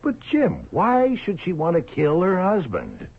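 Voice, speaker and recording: An actor playing a reluctant, puzzled police detective in a radio drama, loyal but doubtful, with a husky, halting delivery. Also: male voice, high voice, wildly swooping pitch, heavily acted. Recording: thin, phone-like old radio recording.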